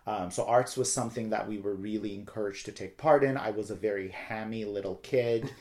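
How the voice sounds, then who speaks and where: Low-voiced, talkative man. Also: a little fast, darkish tone. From Turkey. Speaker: interview guest, theater director. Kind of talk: casual personal storytelling in an interview.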